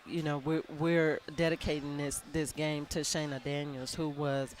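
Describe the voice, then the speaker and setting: A woman basketball coach in a live radio interview, soft-spoken and earnest, often restarting her sentences. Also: deep voice, little pitch movement, moderate pace.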